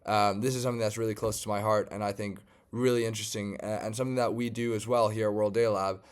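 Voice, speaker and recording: A man from Germany, a data scientist, walking through an online data set in a relaxed, conversational tutorial with frequent fillers. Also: lowish voice, quick pace, smooth. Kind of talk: screen-share tutorial walkthrough.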